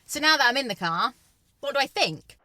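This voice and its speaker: Young Yorkshire woman, car reviewer, chatty and opinionated, bright higher voice, recorded in a car. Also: very animated, fairly quick, far from the mic, a little hiss or hum. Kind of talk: casual on-camera car review. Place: inside a car, driving.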